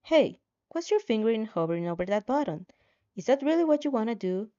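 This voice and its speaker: Woman voicing a mellow, bossy game system. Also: a little high, big pitch swings, brisk, highs cut off. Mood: nagging. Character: bossy game-like system voice, controlling but casual.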